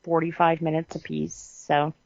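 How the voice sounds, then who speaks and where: Lower-voiced woman chatting, dull lo-fi sound. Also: monotone, moderate pace, creaky, no echo. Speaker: podcast co-host. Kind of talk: casual chat.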